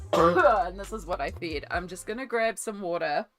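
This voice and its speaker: An Australian woman with a cold, her voice lowered and coughing, chatting casually and rambling with many ums while she colours on a stream. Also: unhurried, fairly bright tone. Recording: faint music behind.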